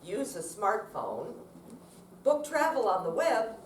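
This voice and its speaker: Brief: female stage reader, unhurried and highly animated, far from the mic in an echoey room. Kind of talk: readers theater dramatic recital. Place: indoor senior center performance room. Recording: distant echoey room, faint noise.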